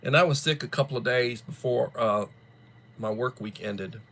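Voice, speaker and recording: A man hosting a casual livestream, chatting to viewers while still stuffed up and coughing from the flu, in a rambling, drawn-out way. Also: mid-range voice, fairly bright tone, slight background noise. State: congested, coughing after flu. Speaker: livestream host. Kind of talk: casual chat greeting viewers.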